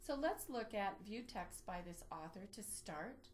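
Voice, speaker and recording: Woman, mid-pitched voice, tutorial presenter, methodical, instructional walkthrough delivery. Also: expressive, a little slow. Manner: methodical, step-by-step explaining. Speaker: presenter of a library database tutorial. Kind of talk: instructional screen walkthrough, lightly improvised.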